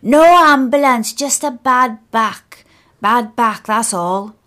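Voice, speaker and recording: A stubborn old man barks his orders and waves off any fuss in this spoken story. A woman narrator puts him on, lifting her pitch and pushing the volume. He sounds slightly distant, as if calling from across a bus shelter. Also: slow. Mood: insistent. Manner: gruff, stubborn, shouting. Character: blunt elderly male bus-stop regular with a bad back.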